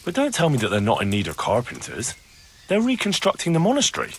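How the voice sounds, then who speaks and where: An actor playing an earnest, plain-spoken young blacksmith's son in a video game cutscene. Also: male voice, medium pitch, huge pitch swings, fast talker, slight background noise. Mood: frank, easygoing. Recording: music behind the dialogue.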